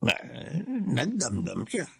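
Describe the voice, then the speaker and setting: An actor playing a kindly, calm older male neighbour with a gravelly voice in a radio drama. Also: medium pitch, slow, no echo, full character voice. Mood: calm, concerned.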